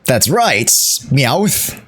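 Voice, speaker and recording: Young male streamer, casual and slangy, very lively intonation with an unhurried pace and hammy jokes. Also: mid-range voice, slight background noise. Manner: jokey, theatrical, slangy. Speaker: game streamer. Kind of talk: casual live gameplay commentary.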